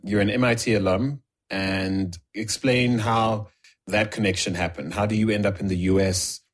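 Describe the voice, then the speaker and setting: A male interviewer speaks in a low, slightly husky voice. He asks questions with open curiosity. He keeps the exchange easy and conversational. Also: steady tone, normal speed.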